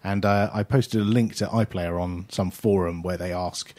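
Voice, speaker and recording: Man, podcast host, low voice, relaxed, hesitant, casual. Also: normal speed. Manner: relaxed, rambling, hesitant with fillers.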